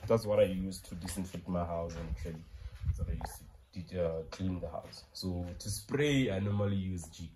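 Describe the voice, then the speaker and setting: A man's voice: low-pitched, normal speed, mellow, a little hiss or hum, low-quality recording. A man in his thirties with a South African accent, a poultry farmer talking straight to viewers in a chatty, joking way as he films himself at work, now and then out of breath from the labour. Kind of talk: casual vlog narration. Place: inside a car and a farm shed. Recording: music playing clearly behind.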